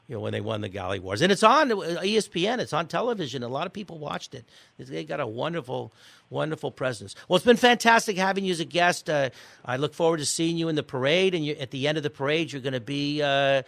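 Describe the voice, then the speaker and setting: A man's voice: fairly high pitch, creaky, fairly bright tone, dry recording. Upbeat man hosting a boating radio show. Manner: chatty, halting, with many restarts and fillers. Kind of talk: casual radio interview and sign-off.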